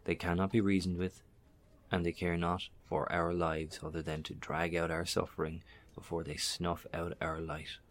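A male actor plays a grave professor in a horror audio drama. His voice is very deep with little melodic movement. He speaks formally, as if imploring survivors to take heed. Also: level tone, normal speed, close to an everyday voice.

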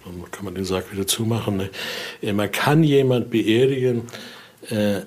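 A man with a fairly low voice talks as a guide who knows the subject well. He explains things in an informal, spoken way and often ends a point with a brief tag question. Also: fairly even intonation, normal speed, darkish tone. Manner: frequent tag questions seeking agreement. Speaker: expert guide showing a collection.

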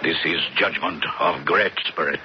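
An actor playing a solemn, devout older man in a radio drama, speaking in halting, terse phrases with dropped articles. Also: very deep voice. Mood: grave awe. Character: solemn Native elder, devout, resigned, self-sacrificing man. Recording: muffled, noisy old radio broadcast.

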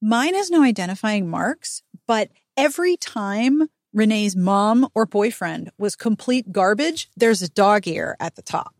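A woman's voice: mid-range voice, expressive, measured pace, few pauses, no room sound, clean studio sound. A woman hosting a casual book recap chat, talking playfully with dramatic emphasis. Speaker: podcast host.

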